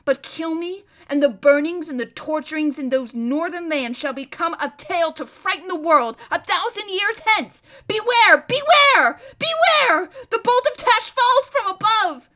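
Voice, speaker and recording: Female reader as raging foreign prince, storybook villain. Shrill, forceful, pompous, big theatrical shrieks. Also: lively intonation, measured pace, hardly any pauses, heavily acted. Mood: menacing, ranting. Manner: shrieking, grandiose threats. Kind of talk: storybook read-aloud.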